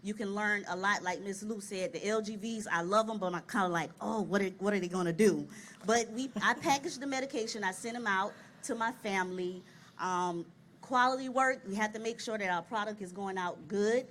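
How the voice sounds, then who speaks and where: A woman's voice: medium pitch, a little fast, fairly bright tone, slight background noise. Brief: thirties woman, plain-spoken and cheerful, outdoor panel with faint ambience. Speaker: panelist, packaging operator. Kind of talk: panel discussion. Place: outdoor stage.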